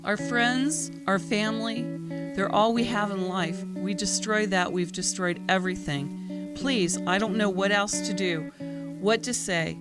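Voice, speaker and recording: A woman's voice: medium pitch, close to an everyday voice. Heartfelt pleading young woman over guitar acted. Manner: heartfelt, pleading, measured phrases. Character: earnest young woman, loving, pleading friend of hero. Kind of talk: audio drama acting. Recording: guitar music behind voice.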